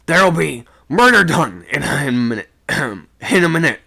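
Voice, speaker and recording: A man playing a rough sailor shouting urgently between breaths in a sea story. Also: a little high, sing-song, measured pace, voice pushed up, heavily acted. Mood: urgent, alarmed. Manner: shouting, gasping. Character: rough, breathless sailor urging men up the rigging.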